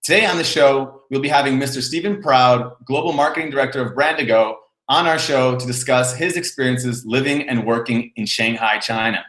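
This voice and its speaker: A man's voice: mid-range voice, a little fast, few pauses, a bit bright. Man hosting, in an echoey room. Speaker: show host. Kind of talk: video interview show hosting. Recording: roomy, echoing sound.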